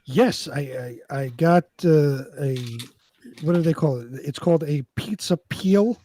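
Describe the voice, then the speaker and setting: A man's voice: on the high side, a little slow, warm tone. A man co-hosting a show in casual, conversational chat. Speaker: co-host.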